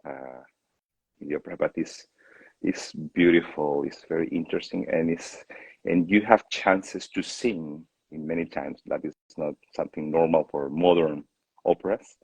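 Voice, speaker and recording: A man from Chile with a Chilean Spanish accent and a husky voice, answering questions as an opera singer in a casual interview. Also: a bass voice, moderate pace, a little mellow, dry recording, poor audio.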